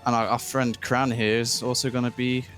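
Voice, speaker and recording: A man's voice: medium pitch, flat delivery, normal speed, fairly bright tone, soft background music. A man aged 23 co-hosting a casual chat, giggly and hesitant with frequent ums. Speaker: podcast co-host.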